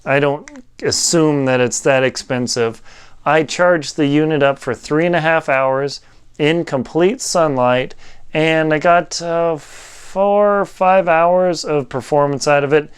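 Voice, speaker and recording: A man's voice: fairly high pitch, clean tone. A man giving a casual, hands-on product review in a conversational, matter-of-fact and colloquial way. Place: indoor studio.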